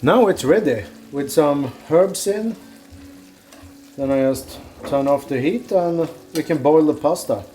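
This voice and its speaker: Low-key man cooking. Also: lively intonation, measured pace. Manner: brief, low-key remarks. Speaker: partner cooking at home. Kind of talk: casual chat while cooking. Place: home kitchen. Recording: echoey kitchen with soft guitar music.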